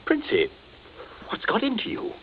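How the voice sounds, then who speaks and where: An actor playing a bluff, jovial British captain with hearty clipped phrases, in a 1940s radio drama style. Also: male voice, normal speed, close to an everyday voice. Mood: startled. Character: bluff English military captain, blustering, jovial neighbour. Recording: dull, hissy 1940s radio broadcast.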